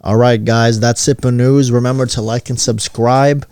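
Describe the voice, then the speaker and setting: A man's voice: medium pitch, fairly even intonation, normal speed, darkish tone, no room sound. A man co-hosting a casual podcast, laid-back and slangy, tossing teasing questions at his friend. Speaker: podcast co-host.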